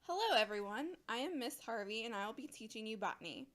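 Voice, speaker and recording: A woman playing a cheery botany teacher in an audio drama, addressing a class with brisk, mock-stern teasing. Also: on the high side, expressive, very noisy, natural voice.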